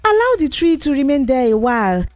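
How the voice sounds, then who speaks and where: A woman's voice: sits high, big pitch swings, brisk, a little mellow, dry recording, pitched above the performer's own voice. Patient village man played by a woman. Placating, persuasive, very melodic storybook delivery, faint music bed. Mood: reassuring. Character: patient, reassuring village man who owns a tree.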